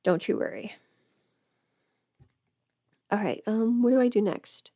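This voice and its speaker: A woman's voice: fairly high pitch, slow pace, dark tone, dry recording. Chatty young woman, close dry sound. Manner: rambling, self-interrupting, informal, chatting to viewers. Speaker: livestreamer and audio performer. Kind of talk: casual livestream chat while putting on makeup.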